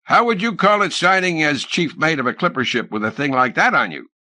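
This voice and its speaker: Man doing a gruff, sour sea captain, emphatic swings. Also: fairly high pitch, animated, brisk, sharp tone, no echo, pitched above the performer's own voice. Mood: probing. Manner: gruff, blustering questioning. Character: sour, gruff, suspicious middle-aged ship's captain.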